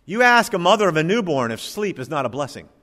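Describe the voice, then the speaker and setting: A middle-aged male pastor preaching a sermon in a church, moving between loud emphatic bursts and quiet, low asides. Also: sing-song, fast. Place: church sanctuary. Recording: church hall reverb.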